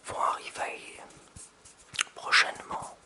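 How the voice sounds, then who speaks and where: Man, 30s, ASMR whisper, unhurried, very bright airy tone, close binaural mic. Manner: soft whisper with hesitant restarts. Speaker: ASMR creator. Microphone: close binaural mic with hand sounds.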